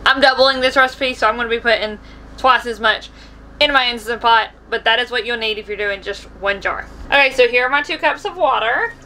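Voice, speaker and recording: Bright, high-voiced young American woman vlogging. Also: a little fast, slight background noise. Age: 20s. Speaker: vlog host. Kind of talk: casual cooking demonstration to camera. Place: small camper kitchen, indoors.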